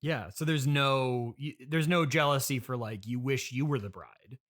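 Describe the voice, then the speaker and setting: A man with a medium-pitched voice hosts a comedy interview podcast. He is awkwardly polite and halting, often stammering and restarting as he works toward his question. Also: a bit bright.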